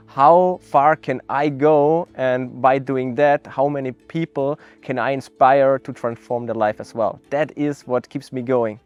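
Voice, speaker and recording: A young man from Austria, giving a network marketing testimonial in an earnest, reflective way, pausing often with fillers and restarts. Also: mid-range voice, fairly even intonation, smooth, dry recording, highs cut off. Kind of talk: promotional testimonial interview.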